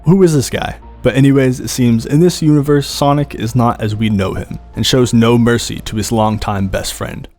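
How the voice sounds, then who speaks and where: A man's voice: medium pitch, normal speed, few pauses, a little mellow. A man giving horror video commentary in a storytelling way, with casual asides. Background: background music.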